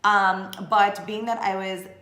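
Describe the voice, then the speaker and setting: A woman's voice: medium pitch, level tone, a little slow, bright, forceful tone. Chatty woman vlogger in an echoey room. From Sri Lanka. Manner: chatty, rambling with many fillers. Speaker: blogger and vlogger. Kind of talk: casual talking-to-camera vlog.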